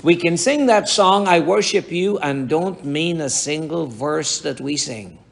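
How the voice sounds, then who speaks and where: A raspy-voiced middle-aged man delivers a sermon to his congregation. He speaks at an unhurried, measured pace in a slightly high register, with a gravelly edge. He presses his points home with pointed rhetorical questions. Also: expressive. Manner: slow, emphatic preaching with rhetorical questions. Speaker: pastor.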